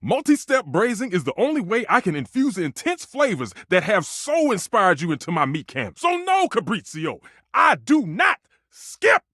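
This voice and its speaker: A volatile, theatrical head chef for a video game. His husky voice sits fairly high and swings enormously in pitch. He is passionate and emphatic, and the sound is close and dry. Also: wildly swooping pitch, moderate pace, few pauses, sharp tone, no room sound, full character voice. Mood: indignant. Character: temperamental, passionate male chef, a living kitchen stove. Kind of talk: video game character acting.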